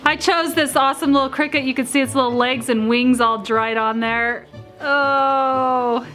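A woman's voice: high voice, steady tone, measured pace, a bit bright, soft background music. A young woman chatting through a family game at home, explaining each round. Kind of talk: casual family game chat. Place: home dining room.

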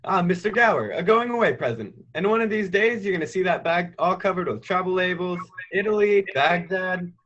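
Casting: young male actor as earnest, loyal youth, high bright voice, radio play, slightly dull recording. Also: expressive, a little fast, few pauses. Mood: casual, eager. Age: young adult. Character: eager, loyal young man dreaming of travel.

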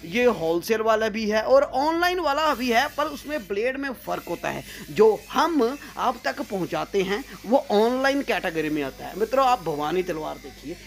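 A man gives a walking tour of his workshop and storeroom, talking to viewers as friends in a salesman's patter, recorded on a handheld phone. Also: high voice. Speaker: sword shop owner giving a factory tour. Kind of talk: walking tour vlog, promotional.

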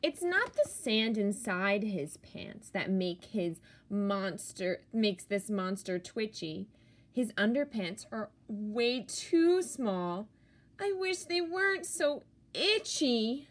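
A woman who is a teacher, reading a picture book aloud to children with expressive, theatrical emphasis on key words. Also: mid-range voice, takes it slowly.

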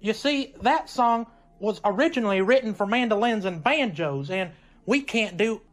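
A young college bandleader type played by a woman in a radio comedy. The voice sits at a middle pitch, blunt, slangy and matter-of-fact. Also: lively intonation, normal speed, soft background music, close to an everyday voice. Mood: blunt, resigned. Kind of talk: old-time radio comedy acting.

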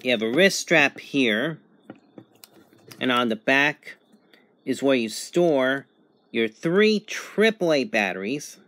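A man giving a casual unboxing and review narration in a halting, methodical way, in short phrases. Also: mid-range voice, lively intonation, pushed and bright, dry recording. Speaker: product reviewer.

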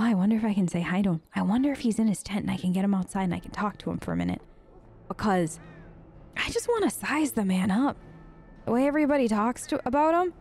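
A woman's voice: mid-range voice, darkish tone, husky. A woman hosting a gaming video, improvising role-play chat in a chatty, polite, rambling way.

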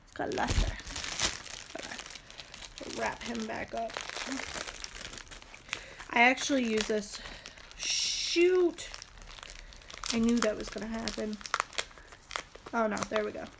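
Young woman, head cold, stuffy nose, gravelly voice, rambling haul chat, faint background noise. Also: a little high, takes it slowly, pauses often. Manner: chatty, rambling, with many fillers. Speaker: beauty vlogger. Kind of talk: casual product haul chat. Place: small home room. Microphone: phone camera held close.

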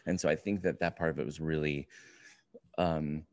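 A man in his forties with a deep, low voice in a dry-sounding room. He talks like a podcast co-host in casual conversation, circling his thoughts, stopping and starting, and cursing freely. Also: fairly even intonation, darkish tone, dry recording. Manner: rambling, halting, profane. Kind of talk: casual book review chat. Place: indoor room, remote video call.

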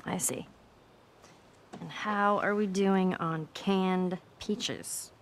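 A woman's voice: mid-range voice, steady tone, drawn-out words, slight background noise. An actress with an American accent playing a spirited, wry, caring teenage girl in a video game drama. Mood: wry, teasing. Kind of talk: naturalistic video game cutscene acting.

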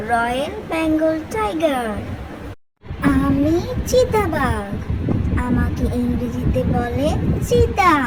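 A narrator of a children's educational cartoon, speaking in a deliberate, sing-song teaching manner. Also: high voice, very noisy. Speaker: narrator introducing animals for children.

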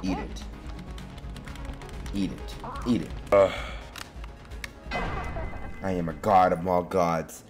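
A young man with a raspy, strained, partly lost voice giving excitable, slangy gameplay commentary, swinging from muttering to yelling. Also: mid-range voice. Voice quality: hoarse, raspy. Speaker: gaming video host. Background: music behind the voice.

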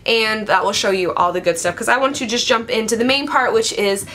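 A young woman in her twenties with a slightly raspy voice, chatting playfully through a makeup tutorial at her vanity. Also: on the high side, fairly quick, pushed and bright. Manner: chatty, playful, talks while applying makeup. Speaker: beauty vlogger. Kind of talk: casual makeup tutorial chat. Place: home makeup room with vanity. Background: faint background music.

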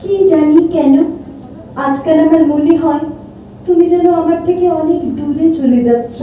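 A woman's voice: high voice, a little slow, warm tone, dull audio, slight background noise. A woman acting a sulky, affectionate and anguished lover in an emotional amateur audio play, with a plaintive, scolding delivery. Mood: hurt, concerned. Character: Bhabna, sulky affectionate woman anguished over her beloved. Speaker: amateur stage audio-drama actor. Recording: echoey hall, distant mic, music.

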